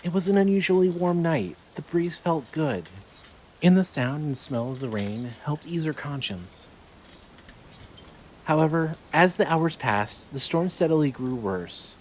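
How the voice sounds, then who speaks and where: A man's voice: fairly high pitch, animated, normal speed, a little hiss or hum, poor audio. A man reading scripted narration as the narrator and announcer of a fiction podcast.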